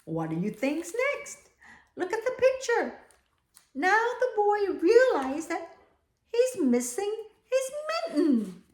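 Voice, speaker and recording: A woman reading a picture book aloud to children, expressive and animated, with chatty, exclaiming asides to young listeners. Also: very high-pitched, slow pace. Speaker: read-aloud storyteller for children. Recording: echoey room.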